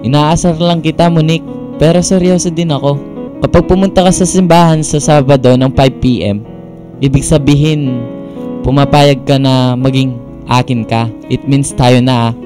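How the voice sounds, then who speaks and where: Man acting a cheeky flirt, slightly high voice. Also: fairly even intonation, moderate pace, music in the background, lightly acted. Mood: confident, teasing. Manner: teasing, cocky, playful. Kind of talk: Filipino radio drama acting.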